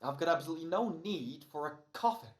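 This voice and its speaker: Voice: mid-range voice, expressive, quick pace, reverberant, natural voice. An actor playing an exasperated, hammy male radio actor in an old-time comedy-horror radio play. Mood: irritated.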